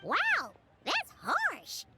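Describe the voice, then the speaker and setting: A very high, squeaky game sidekick, a chatty and cheeky little girl. Her delivery is animated and swoops up and down, with music playing behind her. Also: very slow, full character voice. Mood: surprised, chatty. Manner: exclamatory, animated. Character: small, excitable, high-voiced girl companion, chatty and cheeky. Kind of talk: video game voice acting.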